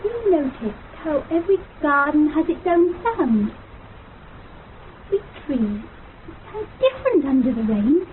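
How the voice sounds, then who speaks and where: A woman's voice: sits high, big pitch swings, measured pace. An actress playing a dreamy, inquisitive young woman in a radio play, with a musing delivery. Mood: dreamy, curious. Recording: muffled, band-limited, faintly noisy radio recording.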